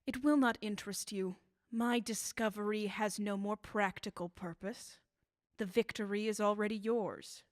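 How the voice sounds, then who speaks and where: A woman playing an embittered elderly scientist in a radio drama, measured, calm and dryly sardonic. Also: mid-range voice, dry recording, clean studio sound, natural voice. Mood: dry, bitter. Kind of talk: 1930s-style radio drama acting.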